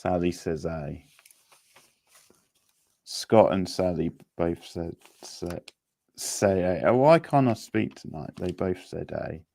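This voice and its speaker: Male quiz host, casual and unhurried, deep creaky voice with a halting delivery. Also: mellow, no echo. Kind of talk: casual live-stream quiz hosting.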